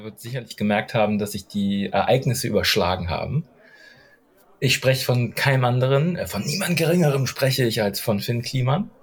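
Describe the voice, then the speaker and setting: Brief: mid-pitched male podcast co-host, teasing and opinionated. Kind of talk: casual chat.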